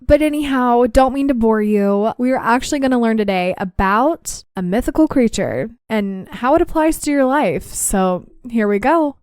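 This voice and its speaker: A woman's voice: on the high side, lively intonation, moderate pace, hardly any pauses, darkish tone, clear, resonant tone, no echo, clean studio sound. A young woman hosting a podcast, chatting casually and then reading listener stories aloud. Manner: chatty, laughs easily, reads stories aloud. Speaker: podcast host.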